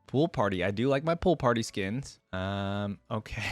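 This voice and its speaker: A man's voice: lowish voice, animated, measured pace, no echo, faint music behind. A man hosting a reaction video, giving informal, off-the-cuff commentary and thinking aloud. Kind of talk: casual off-the-cuff reaction commentary on game skins.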